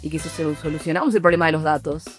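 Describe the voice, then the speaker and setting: A woman's voice: deep voice, little pitch movement, very fast, soft background music. A woman from Argentina with an Argentine Spanish accent, a startup founder answering interview questions in a thoughtful, explanatory way, often restarting her phrases.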